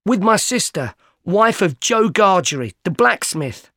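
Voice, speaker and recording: A man's voice: high-pitched, big pitch swings, unhurried, a bit bright, no echo, pitched above the performer's own voice, exaggerated voice. A scared young boy, played by a male reader, pleads in a raised, timid voice. The storybook reading is dry and close-miked. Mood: frightened. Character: frightened young village boy. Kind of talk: audiobook character voice.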